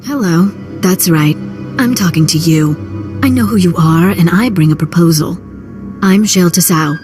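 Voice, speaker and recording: An actress playing a brash, sardonic, streetwise crime broker in a video game. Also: female voice, lowish voice, normal speed, close to an everyday voice. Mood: brisk, persuasive. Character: brash, sardonic female underworld fixer. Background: loud music.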